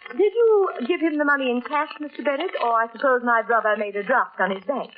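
A woman's voice: on the high side, a little fast, lightly acted. An actress playing a fussy, prim, money-minded middle-aged mother in a 1940s radio drama. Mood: prim, scolding. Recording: tinny, noisy vintage radio recording.

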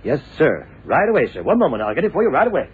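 Fussy clerk with wildly swooping voice. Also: male voice, a little high, wildly swooping pitch, quick pace, husky, full character voice. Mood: eager to please. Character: fussy, obliging, deferential male records clerk. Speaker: records clerk. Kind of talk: 1960s radio drama acting. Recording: tinny, noisy old radio recording.

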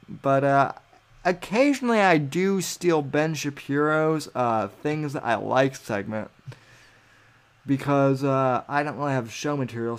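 Slow, rambling young podcast host. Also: male voice, medium pitch. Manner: rambling, meandering, self-assured. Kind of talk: solo livestream podcast, reading chat aloud.

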